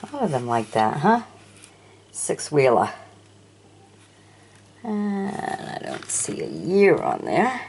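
A low-pitched older woman with a gravelly edge talks slowly and fondly about old toys. Her voice rises and falls a great deal as she admires each one. A faint hiss sits behind her. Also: wildly swooping pitch. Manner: chatty, admiring, asks the viewer questions. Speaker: toy collector showing auction finds. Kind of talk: casual show-and-tell narration. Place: indoors at a sunny windowsill.

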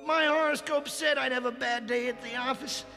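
An actor playing a timid, bumbling, chubby young ghost hunter in a cartoon, with a whiny, fretful comic manner. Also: male voice, extremely high pitch, steady tone, moderate pace, creaky, long reverb tail, full character voice. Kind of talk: 1980s cartoon acting. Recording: loud music behind.

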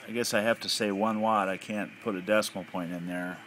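A man's voice: lowish voice, fairly even intonation, fairly quick, fairly bright tone. An American man giving a casual, off-the-cuff hobbyist demonstration, thinking aloud hesitantly. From United States. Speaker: amateur radio hobbyist. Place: indoors at a home radio desk. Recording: noisy handheld home recording.

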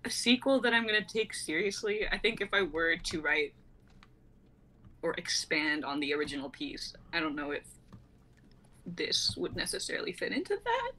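A woman's voice: fairly low pitch, very animated, normal speed, sharp tone, poor audio. A woman in her twenties chatting casually with a group on an online livestream. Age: 20s. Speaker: writer and reader on a group stream. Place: indoors at home, video call.

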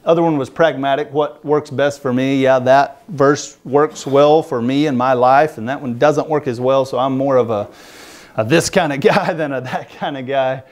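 A man's voice: mid-range voice, normal speed, darkish tone. A man in his thirties preaching a sermon, talking casually with frequent restarts and fillers. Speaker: pastor. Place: church hall with a projection screen.

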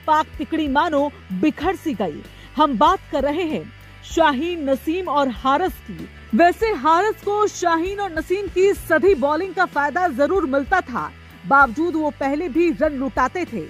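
A woman's voice: high-pitched, fairly bright tone. Dramatic woman narrating sports news. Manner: dramatic, poetic build-up.

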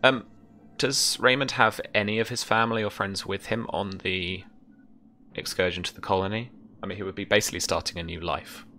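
A man in his thirties narrating a tabletop game as game master, descriptive and measured, with trailing pauses. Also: lowish voice, steady tone, pushed and bright, faint music behind. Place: small indoor room at home.

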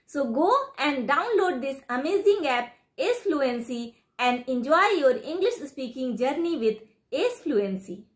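This is a middle-aged Indian woman whose first language is Hindi. Her voice sits very high. She speaks earnest learner's English, as if presenting to viewers. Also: animated, measured pace, few pauses. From West Bengal, India. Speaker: English learner and video host.

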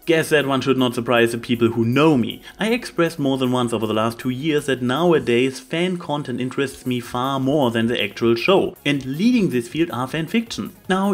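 A man reading scripted opinion commentary as a video host, making his points with emphatic lists. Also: a little high. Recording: music behind the voice. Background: background music.

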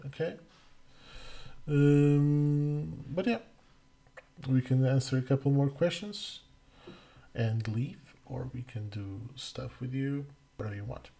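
A middle-aged man from Portugal, hosting a casual livestream Q&A as community manager, halting with many fillers and restarts. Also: medium pitch, vocal fry, dark tone. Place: home room, indoors. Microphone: headset.

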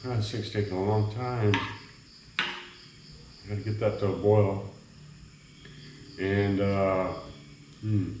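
A man's voice: fairly low pitch, level tone, slow pace, pauses often, dull audio. A middle-aged man chatting casually to viewers while he makes coffee in a small kitchen, rambling and easygoing. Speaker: vlogger. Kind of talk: casual cooking vlog chat. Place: small bare cement-walled kitchen. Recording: echoey room, faint background noise. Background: crickets at night.

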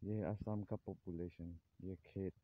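Halting man. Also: low voice, steady tone. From Assam, India. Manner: halting, searches for words; apologetic about his Hindi. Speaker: vlogger. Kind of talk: casual walking vlog narration. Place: outdoors in fields and forest, walking. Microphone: phone camera held while walking, face not shown. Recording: tinny phone-like sound with faint noise.

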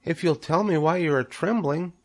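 A man's voice: a little high, normal speed, pitched above the performer's own voice. A man voicing tiny young female spiders in a storybook, dainty, polite and eager. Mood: eager, playful.

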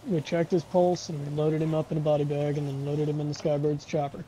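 A man's voice: a little high, completely flat delivery, fast talker, mellow. A man speaking tersely and matter-of-factly in character in an online roleplay game over voice chat. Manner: matter-of-fact. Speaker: roleplay gamer playing a unit member. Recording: noisy gaming voice chat.

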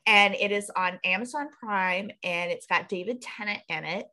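A woman's voice: medium pitch, moderate pace, sharp tone. Nebraska lady rambling on a podcast video call, laughs at herself a lot, webcam sound. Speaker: podcast co-host. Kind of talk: casual chat on a video call.

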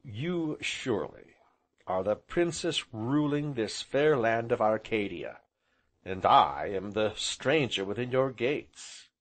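A courtly, dreamy gentleman from a romantic novel, as a male narrator plays him. He talks in a placid, gravely courteous way at a medium pitch, with highly varied melody. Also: big pitch swings, unhurried. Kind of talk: audiobook character voice.

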